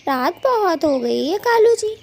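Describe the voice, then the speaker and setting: A woman's voice: very high-pitched, very animated, measured pace, vocal fry, a little mellow, heavily acted. A woman voicing a gossipy, scheming female bird thief who dotes on her husband, in a dramatic, exclamatory children's cartoon manner. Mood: doting. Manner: dramatic, exclamatory, scolding and fawning. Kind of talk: Hindi children's cartoon dialogue.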